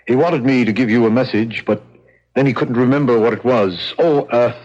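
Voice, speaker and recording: An actor in a 1970s radio drama playing a blunt, matter-of-fact prison guard who speaks in terse, clipped lines. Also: male voice, mid-range voice, moderate pace, a little mellow, lightly acted. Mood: uneasy, matter-of-fact. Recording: dull, muffled old radio recording.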